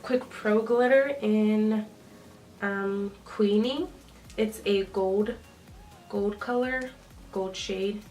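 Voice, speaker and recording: A woman's voice: medium pitch, steady tone, very slow, long pauses, darkish tone, soft background music. Nasal young woman chatting through makeup. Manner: chatty, with frequent ums. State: nasal from a cold. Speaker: beauty vlogger. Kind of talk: casual makeup tutorial talk. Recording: slightly distant with faint noise.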